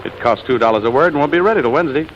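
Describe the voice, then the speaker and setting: An actor playing a proud, sarcastic stage performer who is quick to dodge and snap back, in 1940s radio drama style. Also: male voice, medium pitch, expressive, a little hiss or hum, natural voice. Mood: sheepish, soothing. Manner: sarcastic, wisecracking. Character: proud, evasive male stage performer, sour and fast-talking. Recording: dull old radio recording, music behind.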